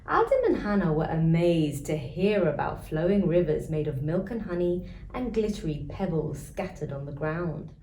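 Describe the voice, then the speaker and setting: A woman's voice: fairly low pitch, lively intonation, normal speed, few pauses, warm tone. A woman storyteller narrating a children's storybook. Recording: roomy echo, faint background noise.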